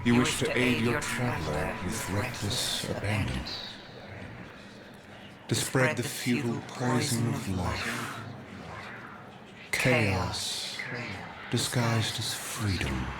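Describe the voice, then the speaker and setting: An actor playing an ancient, godlike video game villain, coldly solemn and seductively persuasive, speaking as an orator who slips into whispers. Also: male voice, fairly low pitch, takes it slowly, pauses often, heavy background noise. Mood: solemn, contemptuous.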